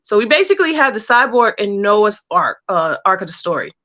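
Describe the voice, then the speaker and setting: Bright-voiced woman in an interview. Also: mid-range voice, sing-song, fairly quick. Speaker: interview guest, comic book creator and writer. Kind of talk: casual interview, explaining story plans.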